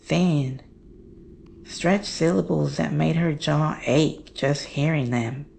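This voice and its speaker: A woman playing a haunted daughter recounting a horror story in hushed, halting, measured phrases. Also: low voice, gravelly, exaggerated voice. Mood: haunted, dread. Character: Jane, haunted woman recounting her family's monster encounter. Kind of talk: slow scripted horror storytelling.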